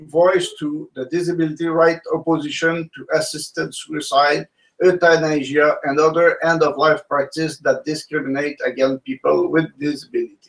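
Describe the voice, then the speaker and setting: Halting man, a bit off-mic. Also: fairly high pitch, far from the mic, rough recording. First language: French. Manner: halting, searches for words in his second language. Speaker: co-host. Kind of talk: casual panel discussion.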